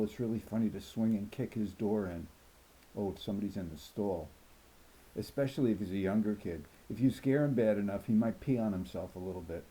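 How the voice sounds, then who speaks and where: Man reading as a cocky, mellow-voiced mischievous schoolboy. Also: fairly low pitch, lightly acted, poor audio. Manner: boastful, matter-of-fact, jokey. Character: cocky, mischievous fifth-grade boy telling his story. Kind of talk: first-person children's novel narration.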